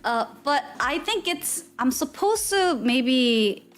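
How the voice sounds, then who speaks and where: A woman's voice: high-pitched, expressive, slow pace, fairly bright tone, soft background music. A young woman from Korea, streaming and chatting casually with viewers, full of fillers and laughs.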